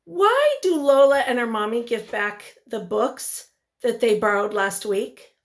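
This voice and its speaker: Female teacher, fairly high voice, unhurried pace, expressive picture-book read-aloud, prompting young listeners with questions. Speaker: teacher reading aloud to children.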